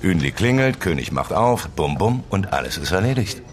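A man's voice: sits low, big pitch swings, moderate pace. A man acting a dogged, sceptical newspaper reporter with dry humour and a laconic, questioning manner in a naturalistic German radio drama. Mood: sceptical, probing. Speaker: actor.

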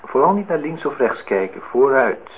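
An actor playing a polite, reflective, wistful office worker in a Dutch radio drama, speaking formally and deliberately. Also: male voice, medium pitch, sing-song, husky. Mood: driven, bitter. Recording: tinny, band-limited old radio recording, faint noise.

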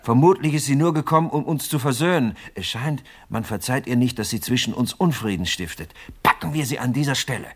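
Pushy businessman in a radio play, mid pitch, wheedling, self-important, gets worked up fast. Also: lively intonation, slightly rough. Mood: scheming, indignant. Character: scheming, hot-headed businessman haggling with a ghost.

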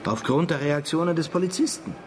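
A man's voice: fairly high pitch, lively intonation, brisk, noisy background, close to an everyday voice. An actor in a German radio drama playing an indignant, haughty businessman who speaks formally and scornfully and is easily outraged. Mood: dismissive, irritated. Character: indignant, exasperated, haughty businessman confronting his tormentor.

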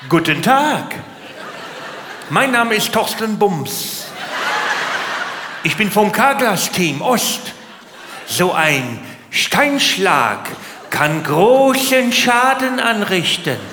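A man voicing an awkward, stiff employee reciting a TV commercial slowly, in a parody on stage. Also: sits high, sing-song, heavily acted. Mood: stilted, dutiful. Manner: stilted, slow, drawn-out delivery. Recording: echoey hall, noisy live audience.

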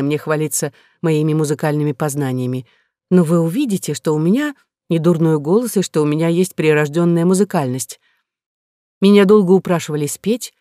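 Bright, dry-sounding woman narrator. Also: sits low, no echo. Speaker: audiobook narrator. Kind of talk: scripted narration of a classic novel in a young noblewoman's first person.

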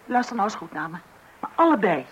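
A woman's voice: mid-range voice, sing-song, fast, highs cut off, a little hiss or hum, close to an everyday voice. Actress as a stern scolding mother.

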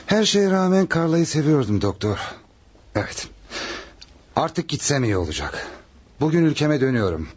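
A man acting a dignified, grieving head of state in a radio play, with a husky voice and formal, solemn manner. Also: a little high, very animated, normal speed, pauses often.

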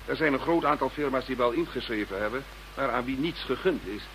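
A man's voice: medium pitch, brisk, a bit bright, close to an everyday voice, rough recording. A man acting a Dutch cabinet minister in a radio drama, formal and cooperative. He speaks earnestly and carefully in a mid-range voice, with faint hum behind.

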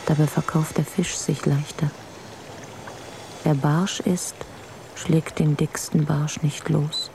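A lonely fisherman's wife in a German radio drama, musing wistfully to herself. Her voice is slightly low and slow. Running water is clearly heard behind her. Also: level tone, noisy background, full character voice. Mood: wistful chatting. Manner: musing, unhurried. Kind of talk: German radio play monologue. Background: trickling water.

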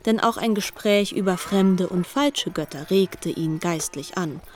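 Female storyteller, slightly low pitch, measured, formal literary narration. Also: darkish tone. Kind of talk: scripted literary narration for radio.

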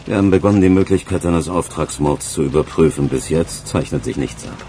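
Sardonic detective, extremely low voice, dry delivery, radio drama, noisy ambience behind. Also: male voice, fairly quick, dark tone. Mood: dry, wry. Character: wry, provincial male police investigator. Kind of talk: radio play acting.

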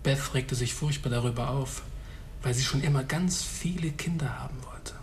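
An actor playing a blind, reclusive man in a radio drama, talking in a dry, measured, matter-of-fact way. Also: medium pitch, slight background noise, natural voice. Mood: sombre.

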